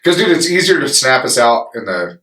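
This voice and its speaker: A man's voice: mid-range voice, sing-song, a little fast, fairly bright tone, roomy sound, far from the mic. A man guesting on a podcast as a business owner, talking bluntly and swearing heavily. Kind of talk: casual podcast conversation.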